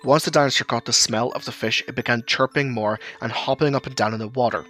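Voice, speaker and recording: A man's voice: medium pitch, quick pace, fairly bright tone, soft background music. A man reading scripted narration for an audio drama as its storyteller.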